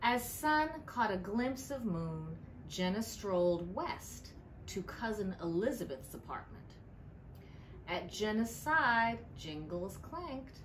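A middle-aged woman, a librarian, reads a picture book aloud with deliberate storytelling emphasis. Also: medium pitch, very animated, a bit bright. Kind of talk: expressive storybook read-aloud. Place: indoor library room. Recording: clearly noisy room ambience.

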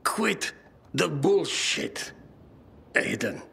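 Casting: proud old wounded game character, weak and halting, gravelly creak, strained sharp edge. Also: male voice, a little high, sing-song, a little hiss or hum, full character voice. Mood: pained, insistent. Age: older. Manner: halting, stammering speech broken by pauses. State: weak, breathless, coughing, in pain. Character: wounded old man, proud father, dying and stubborn. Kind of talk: dramatic video game acting.

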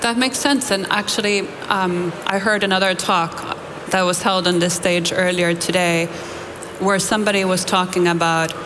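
A woman's voice: on the low side, steady tone, moderate pace. Woman from Finland interviewing in a big hall. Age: 40s. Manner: articulate, reflective questions. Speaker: interviewer, moderator. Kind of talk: onstage conference interview. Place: large conference hall stage. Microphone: headset mic. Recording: reverberant hall with background noise.

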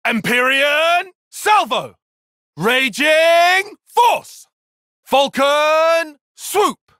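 A man's voice: extremely high pitch, animated, extremely slow, sharp tone, dry recording, clean studio sound, full character voice. An actor voicing an earnest, calculating and proud young male tactician in a video game, speaking formally and precisely with intensity. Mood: battle shouting. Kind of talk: video game battle voice lines.

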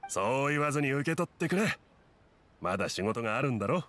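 An actor playing a kindly, grateful middle-aged working man in an anime game, gentle and courteous. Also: mid-range voice, sing-song, a little fast. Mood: fond, insistent.

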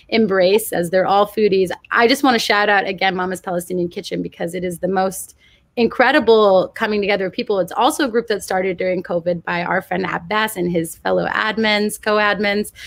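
A woman's voice: mid-range voice, gravelly, dry recording. A young adult woman hosting a live online cooking event from home over a video call, upbeat and full of praise for her guests. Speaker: host.

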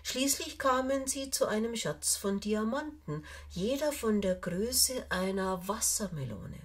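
An older woman reading a fairy tale aloud for children, with measured, expressive storytelling and deliberate pauses. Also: mid-range voice, breathy edge. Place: small indoor room, seated on a sofa.

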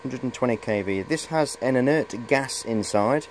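A man's voice: medium pitch, moderate pace, a little hiss or hum. A middle-aged man giving a casual, hesitant, rambling show-and-tell on a handheld camera in a cluttered workshop. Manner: rambling, hesitant, repeats "of course". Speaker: hobbyist presenter.

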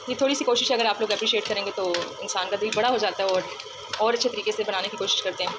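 Woman, home cooking vlogger, mid-pitched and chatty; phone recording with loud kitchen noise behind. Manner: chatty, step-by-step, leans on the filler "jo hai". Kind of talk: casual cooking demonstration. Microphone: phone held over the stove. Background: loud kitchen noise, frying and running water.

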